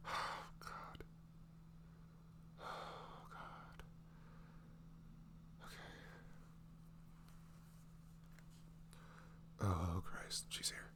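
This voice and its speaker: Man acting as a breathy, nervous, adoring boyfriend. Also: sits low. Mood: nervous, muttering. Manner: breathy, halting, awestruck. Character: nervous, adoring young boyfriend meeting online love. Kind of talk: intimate ASMR roleplay.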